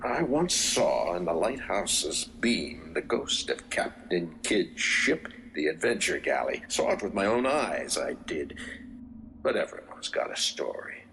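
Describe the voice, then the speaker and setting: An actor playing a folksy old sailor in a game, gravelly and unhurried, with salty pirate talk. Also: male voice, low-pitched, very animated, a bit bright, a little hiss or hum, exaggerated voice, poor audio. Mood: jovial, storytelling. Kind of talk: video game character acting.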